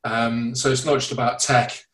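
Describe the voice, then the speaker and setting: A man talks casually on a startup podcast, with self-deprecating humour. His voice stays level in pitch, with little rise or fall. He sounds set back from the mic in a roomy, echoing space. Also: flat delivery, normal speed, fairly bright tone. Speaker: podcast co-host and startup founder. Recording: echoey, distant from the mic.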